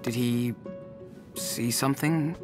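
A young man playing a caring, protective older teen brother who speaks softly and hesitantly, in a video game cutscene. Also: mid-range voice, slow pace, soft background music, lightly acted. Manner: soft-spoken, hesitant.

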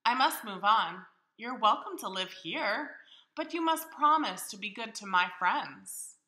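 A woman voicing a friendly, grateful male hermit crab from a picture book, with earnest, drawn-out delivery. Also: a little high, lively intonation, bright, forceful tone. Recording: echoey room in a house.

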